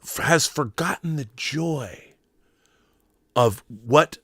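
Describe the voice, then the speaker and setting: A man's voice: on the high side, lively intonation, takes it slowly, gravelly, a bit bright, husky, dry recording. Emphatic, rambling American man, dry close sound. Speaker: show host. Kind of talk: opinionated commentary, casual monologue.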